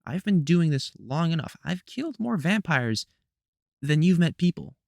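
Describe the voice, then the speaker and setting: A man's voice: a little high, lively intonation, normal speed, gravelly, a little mellow, no room sound, studio quality. A man playing a protective, sardonic veteran vampire hunter in an audio roleplay, scolding fondly in colloquial speech. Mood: reassuring. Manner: colloquial, sardonic, scolding mentor with mild swearing. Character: gruff, protective veteran male vampire hunter, exasperated but fond. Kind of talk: scripted audio roleplay, one-sided conversation.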